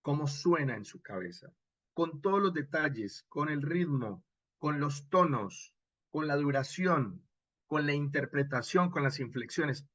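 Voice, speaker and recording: A man giving an informal online lecture as an instructor, expressive and didactic, often checking in with short questions. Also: a little high, no room sound, highs cut off. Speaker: radio drama instructor.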